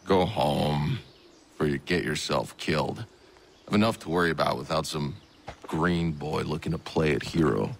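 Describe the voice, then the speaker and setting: A man's voice: very deep voice, moderate pace, vocal fry, a little hiss or hum. A man playing a blunt, sardonic, battle-weary militia commander in a video game cutscene. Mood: gruff, dismissive.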